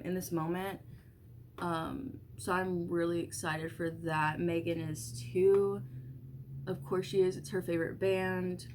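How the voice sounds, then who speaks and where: A woman's voice: on the low side, a little hiss or hum. A young woman in her early twenties with a stuffy, congested voice, chatting casually while doing her makeup at home, rambling with lots of fillers and the odd swear. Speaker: vlogger. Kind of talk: casual get-ready-with-me chat.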